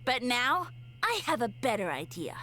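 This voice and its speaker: A woman's voice: a little high, very animated, moderate pace, bright, forceful tone, low-quality recording. A woman voicing a scheming mushroom creature who thinks itself a fallen god, in a game, speaking in grandiose, theatrical villain tones. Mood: menacing. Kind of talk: game voice acting.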